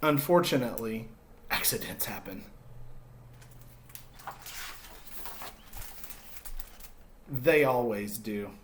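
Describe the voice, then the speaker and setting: A man's voice: medium pitch, normal speed, a little hiss or hum, pitched below the performer's own voice, lightly acted. A man voicing a timid yet persevering egg in a children's storybook, narrating earnestly and expressively in the first person. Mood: nervous, determined.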